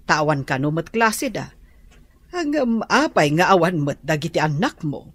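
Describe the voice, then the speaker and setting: A woman's voice: sits low, big pitch swings, normal speed, dry recording. An actress playing a concerned older village woman who questions what she hears. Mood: doubtful. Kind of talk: radio drama acting.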